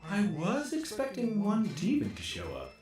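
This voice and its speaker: A man's voice: high-pitched, wildly swooping pitch, recorded from a distance. A man playing a sadistic, taunting bat demon in an audio drama, toying theatrically with prey. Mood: smug, amused. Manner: taunting, theatrical, drawn-out delivery. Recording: roomy echo on the voice. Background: music.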